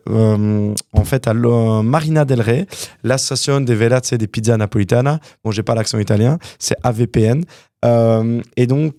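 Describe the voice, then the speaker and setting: A young man from Canada, a guest entrepreneur telling his story in a casual interview, animated and full of fillers. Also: medium pitch, creaky, no echo, studio quality. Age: young adult.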